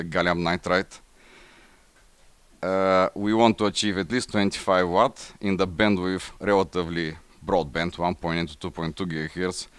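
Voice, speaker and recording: A middle-aged man with a Bulgarian accent gives a technical slide presentation, speaking haltingly with frequent pauses. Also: low voice, fairly bright tone, clear, resonant tone, dry recording. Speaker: conference presenter, engineer. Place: indoor forum room, seated at a table before a banner.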